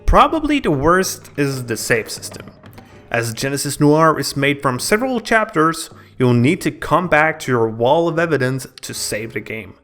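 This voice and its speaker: A man's voice: fairly high pitch, big pitch swings, moderate pace, fairly bright tone, faint music behind. A man narrating a scripted video game review in a dramatic, storytelling manner.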